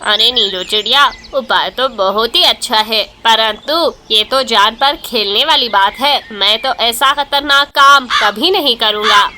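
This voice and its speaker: A woman's voice: a little high, a little slow, few pauses, pushed and bright, slight background noise. A woman voicing an anxious but loyal male crow in a children's cartoon, exclaiming and pleading. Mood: eager, wary.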